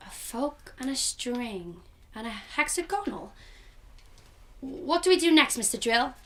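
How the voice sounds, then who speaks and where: A woman voicing a loud, excited little girl in a student radio drama. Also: a little high, a little slow. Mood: eager. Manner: eager, breathless, giggly.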